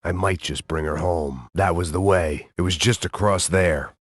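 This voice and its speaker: Game noir lead: a weary, cynical middle-aged ex-cop, gravelly and very low, dry and close to the mic. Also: male voice, normal speed, dry recording. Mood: weary sardonic. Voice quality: gravelly, deadpan, sardonic. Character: weary hard-drinking male ex-cop, bitter, middle-aged. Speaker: game protagonist. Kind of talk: noir inner-monologue narration.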